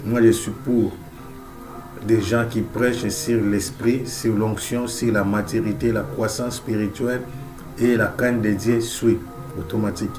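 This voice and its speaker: A man's voice: lowish voice, steady tone, measured pace, music in the background. A male preacher with a cold, delivering an emphatic sermon that repeats key phrases, with frequent amens and alleluias. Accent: Central African French. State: has a cold.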